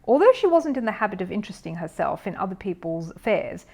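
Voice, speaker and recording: A woman's voice: mid-range voice, sing-song, fast talker, gravelly, a little mellow, slightly rough. A woman reading a children's story aloud as scripted narration.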